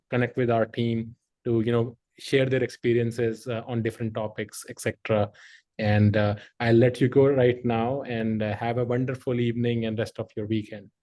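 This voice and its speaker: A man's voice: mid-range voice, mellow. Middle-aged male podcast host, rambling anecdotal delivery. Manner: rambling, heavy filler use, frequent laughter. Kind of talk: casual conversational storytelling.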